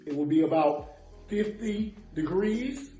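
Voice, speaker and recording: A man with a raspy voice giving a comic weather forecast, excitable and quick to laugh and shout. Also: high-pitched. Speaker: weather segment presenter. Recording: echoey room with faint music.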